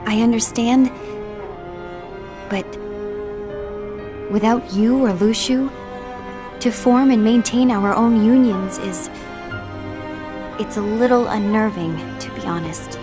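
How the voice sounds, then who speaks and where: A woman playing a composed, dutiful young mediator in a video game cutscene, earnest, polite and measured. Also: a little high, music in the background. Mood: hesitant, uneasy.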